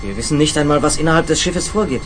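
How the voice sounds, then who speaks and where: Higher-voiced, eager young space adventurer played by a man. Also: lively intonation, quick pace, music in the background, close to an everyday voice. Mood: earnest. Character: eager, earnest young male companion of the commander. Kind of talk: 1970s German science fiction radio play acting.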